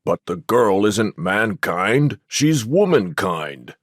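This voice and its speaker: An actor playing a proud, self-important corkscrew lawyer in a drawling voice for an audiobook. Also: male voice, on the low side, very animated, slow, dry recording, clean studio sound, heavily acted. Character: proud crooked corkscrew lawyer, living utensil.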